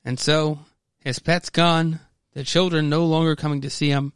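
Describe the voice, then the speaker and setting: A man's voice: a little high, little pitch movement, normal speed, clean tone, dry recording, clean studio sound. A man hosting a podcast, narrating a comic story and adding casual commentary as he goes. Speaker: podcast host.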